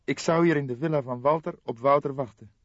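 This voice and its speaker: A man voicing a distraught, helpless young woman in a tearful, halting way for an audiobook. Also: medium pitch, no room sound, lo-fi sound, voice pushed up, close to an everyday voice. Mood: distressed, helpless. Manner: wailing, halting, tearful delivery. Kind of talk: audiobook character voice.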